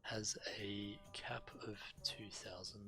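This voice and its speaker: A male game streamer with a low-pitched, forward, crisp voice. He reads quest text aloud and comments in a relaxed way as he plays, with game music faintly behind him. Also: takes it slowly.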